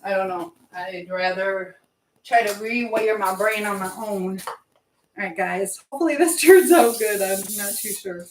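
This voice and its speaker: A woman's voice: mid-range voice, unhurried, a little hiss or hum, rough recording. Rambling middle-aged woman chatting casually. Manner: rambling, hopping between chat and task; chatting while baking. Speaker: live stream host. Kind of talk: casual live chat, reading out viewer comments.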